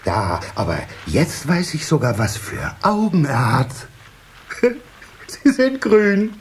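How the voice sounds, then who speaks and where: Doting water-sprite dad: slow, hemming, swooping delivery, children's cassette drama, faint hiss. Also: male voice, a little high, wildly swooping pitch, exaggerated voice. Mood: overjoyed. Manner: hemming, drawn-out, easily delighted. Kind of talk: children's audio play acting.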